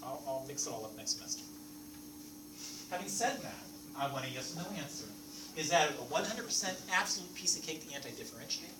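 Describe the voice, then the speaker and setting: A man whose first language is French teaches a math lecture, and his pitch swings up and down as he quizzes the class. He is recorded from a distance in an echoey classroom. Steady noise runs under his voice. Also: fairly quick. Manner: lively, playful teacher asking the class questions. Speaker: math instructor.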